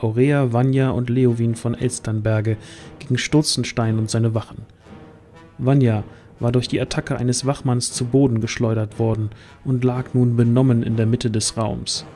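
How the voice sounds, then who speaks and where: A man's voice: mid-range voice, flat delivery, a little slow, mellow, faint music behind. A man narrating a scripted fantasy audio drama as the storyteller.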